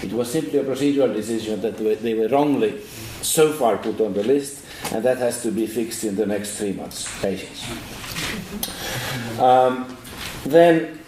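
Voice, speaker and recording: A man's voice: medium pitch, mellow, a little hiss or hum. A man on a seminar panel giving firm, explanatory answers to audience questions. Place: university seminar hall. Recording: echoey hall, mic at a distance.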